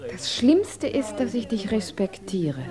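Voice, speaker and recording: An actress playing a grave, unflinching wife in a 1950s German radio drama, probing and restrained. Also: female voice, on the high side, sing-song, slow, a crowd in the background. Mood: resigned. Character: grave, unflinching wife of a cold, powerful man.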